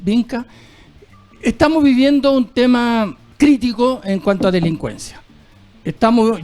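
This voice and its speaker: Presentador chileno de voz aguda. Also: male voice, sits high, darkish tone. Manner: conversational, slightly hesitant. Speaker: host. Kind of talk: moderating a political panel.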